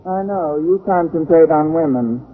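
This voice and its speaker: An actress playing a wry, quick-witted woman schoolteacher who delivers dry wisecracks in an old radio comedy. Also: deep voice, natural voice. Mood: sardonic, teasing. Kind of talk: 1940s-50s radio comedy acting and narration. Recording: noisy old radio recording, narrow band.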